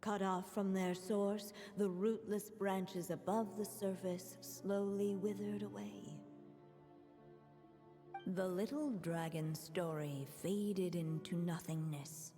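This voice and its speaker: Slow, mellow female storybook narrator. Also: medium pitch, fairly even intonation, in a large hall, faint music behind. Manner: slow, measured. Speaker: game narrator.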